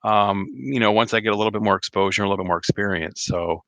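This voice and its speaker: A slightly hoarse man chatting casually on a live stream, rambling with many fillers and restarts. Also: on the low side, fairly even intonation, fast talker, sharp tone, dry recording. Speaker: RV vlogger hosting a live chat.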